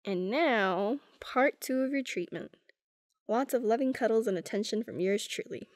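Female actor for affectionate, sarcastic young girlfriend in ASMR roleplay; lightly high pitch, playful teasing, dry close recording. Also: moderate pace, no echo, natural voice. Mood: playful, caring.

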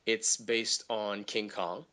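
A man, a voice actor, chatting casually in an interview, telling animated stories about his work. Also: fairly low pitch, slow. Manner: energetic, animated storyteller who slips into character voices. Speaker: voice actor guest.

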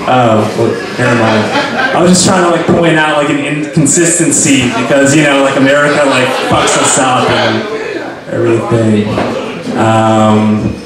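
Halting young man at an open mic. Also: medium pitch, fairly even intonation, loud music behind the voice. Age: young adult. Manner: halting, filler-heavy, awkward pauses. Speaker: stand-up comic. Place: small bar stage. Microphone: handheld mic. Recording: echoey bar from far off, crowd. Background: bar crowd.

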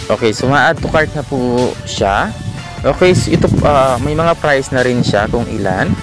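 A man with a Filipino accent hosting a screen-recorded app tutorial, talking in a relaxed way and leaning on fillers like "ayan" and "okay". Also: mid-range voice, measured pace. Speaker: tutorial host. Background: background music.

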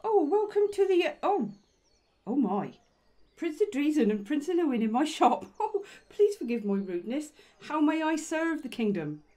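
A woman's voice: high-pitched, sing-song, moderate pace, warm tone. A woman playing a flustered, fawning elf baker in a fantasy roleplay, gushing and deferential. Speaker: voice actor. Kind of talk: ASMR fantasy audio roleplay.

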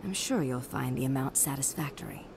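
A calculating female official in a sci-fi game. Her voice is very low for a woman, and she is formal, measured and smoothly polite, with faint ambience behind her. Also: background noise. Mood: coolly polite. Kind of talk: video game dialogue.

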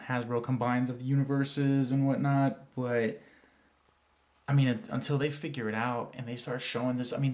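Man, congested from a cold, rambling casual toy review, faint hiss behind. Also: medium pitch. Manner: rambling, frequent fillers and restarts.

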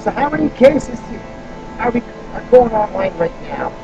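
A man hosting an informal on-the-spot interview, telling stories in an animated way and acting out quoted dialogue. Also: high-pitched, normal speed, vocal fry, highs cut off. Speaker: interviewer and activist introducing a guest. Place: busy expo venue. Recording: music behind the voice. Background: music.

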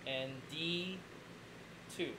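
A calm young man playing a board game in a small room, explaining rules and counting scores, heard from a camera across the table. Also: a little high, drawn-out words, background noise. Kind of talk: casual game talk.

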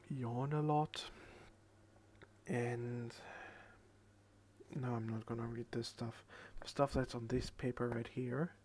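A very tired young man rambles in a soft, drowsy half-whisper, drawing his words out at a sluggish crawl. He speaks close to a headset microphone at his computer desk at home. A faint hiss sits under his voice, and his pitch stays in an ordinary middle range. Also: fairly even intonation, slow pace, pauses often. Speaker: channel host. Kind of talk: late-night ASMR rambling.

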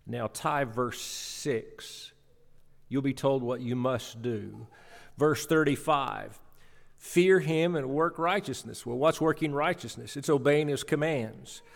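A man's voice: medium pitch, takes it slowly, gravelly. Middle-aged male preacher in a reverberant hall. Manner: emphatic, dramatic swings, repeats phrases for emphasis. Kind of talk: sermon, scripture exposition. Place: church auditorium, at a pulpit. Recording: reverberant church hall sound.

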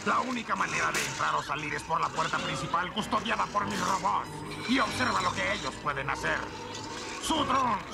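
Gravelly, gloating winged cartoon villain. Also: male voice, sits high, lively intonation, moderate pace, full character voice. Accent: Latin American Spanish. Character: big winged male villain zookeeper, gloating and menacing. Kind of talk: Spanish-dubbed cartoon villain acting. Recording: loud music behind the voice.

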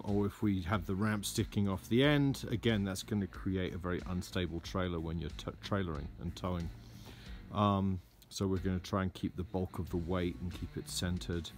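A man narrating a DIY trailer project in a casual how-to style, relaxed and full of "uh" and "um", recorded outdoors in a yard on a camera mic. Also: on the low side. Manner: relaxed, lots of "uh" and "um". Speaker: DIY vlogger.

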